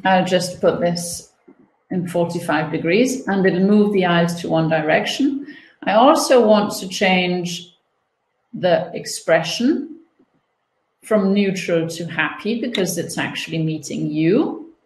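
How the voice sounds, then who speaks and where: A woman in her thirties presenting an online coding webinar from home over a webcam, explaining steps in a relaxed way with playful comparisons. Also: lowish voice, takes it slowly. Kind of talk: online teaching demo. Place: home room, indoors. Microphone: laptop webcam video call. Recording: roomy echo.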